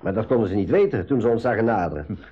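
An actor playing a blunt, wary seafaring adventurer in a 1980s school radio play. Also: male voice, on the low side, animated, fast talker, mellow, husky. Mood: grim, resigned. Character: gloomy, wary seafaring adventurer, male companion. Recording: dull lo-fi 1980s radio recording.

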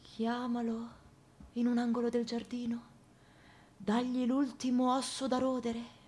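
A woman's voice: on the high side, monotone, a little slow, darkish tone, natural voice. A woman playing a grave, severe spinster, reciting solemnly and measuredly in literary radio theatre, at times near a whisper. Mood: solemn, hushed. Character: grave, severe spinster resolved on a mercy killing.

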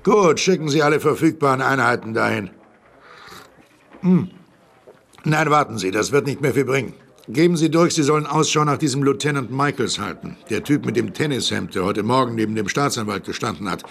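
An actor in a German radio drama playing a shrewd male sheriff with a gravelly voice, giving terse orders. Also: medium pitch, lively intonation, brisk, fairly bright tone. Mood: brisk, determined.

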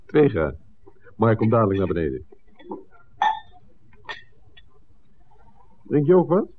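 Worldly wry friend, low voice, lively swooping intonation, bantering, principled, vintage radio play. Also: male voice, fast. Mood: friendly, confiding. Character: worldly, principled man, teasing friend and colleague. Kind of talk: 1960s Dutch radio play acting. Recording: muffled, noisy old radio drama recording.

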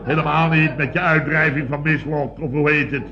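An actor playing an excitable, blustering building boss who stammers and garbles words in a radio comedy. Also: male voice, on the high side, moderate pace, close to an everyday voice. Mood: flustered, indignant. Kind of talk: Dutch radio comedy acting, around 1970. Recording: dull old radio recording.

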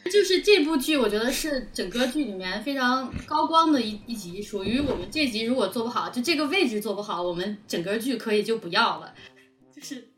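A woman's voice: on the high side, expressive, brisk, soft background music. A woman from an audio drama team chatting casually with emphatic, repeated phrases. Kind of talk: casual group chat about an audio drama.

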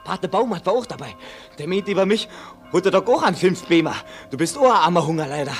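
Ein Schauspieler spielt im Hörspiel einen aufgeregten, atemlosen jungen Burschen mit schlesischem Akzent. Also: male voice, high voice, expressive, brisk, faint music behind. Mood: excited, breathless. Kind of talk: German radio play acting.

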